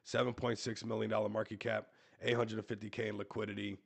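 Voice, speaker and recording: A man hosting a casual crypto livestream, hoarse and losing his voice, hyping coins and calling out to viewers. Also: fairly low pitch, little pitch movement, fast. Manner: hype-driven, repeats "everybody", talks to viewers. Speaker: crypto show host.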